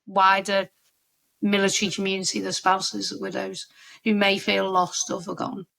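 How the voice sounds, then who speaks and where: A woman's voice: medium pitch, completely flat delivery, moderate pace, gravelly, a bit bright. A woman with a Scouse accent hosting a podcast interview, chatty and encouraging, often restarting her sentences. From Liverpool area. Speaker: podcast host.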